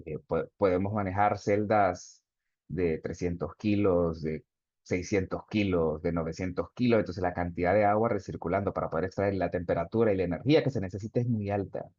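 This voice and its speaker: A man's voice: deep voice, fairly quick, a little mellow, no room sound, muffled recording. A man teaching an online class, explaining step by step and reading chat answers aloud. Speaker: instructor. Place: video call.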